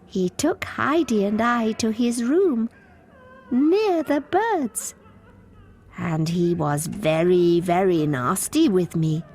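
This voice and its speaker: A woman's voice: medium pitch, slow pace, darkish tone, slight background noise. A woman playing a childlike, disturbed asylum patient in a video game, plaintive and confiding. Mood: hushed, resentful. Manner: childish, plaintive, drifting into breathy confiding whispers. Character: childlike disturbed female asylum patient devoted to her doll.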